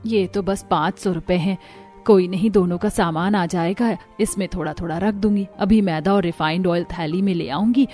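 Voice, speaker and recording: A woman's voice: lowish voice, normal speed, warm tone, voice pushed down, lightly acted. A woman voicing a poor, worried middle-aged mother fretting to herself in a cartoon story. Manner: fretful, talking to herself. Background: music.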